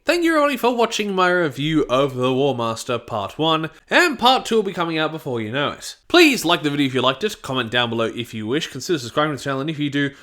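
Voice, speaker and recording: A man reads a scripted review in a fairly high voice. His intonation is very lively, rising and falling often. He sounds opinionated and expressive, like an online reviewer. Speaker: YouTube audio drama reviewer.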